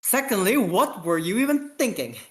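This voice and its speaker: An amateur reader as an exasperated, scolding spaceship lieutenant, raised pitch. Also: sing-song, natural voice. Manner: indignant, emphatic, halting.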